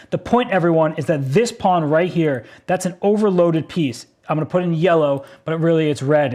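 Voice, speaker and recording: A man's voice: on the high side, darkish tone. A man commentating a blitz chess game, exclaiming at surprising moves and laughing easily. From United States.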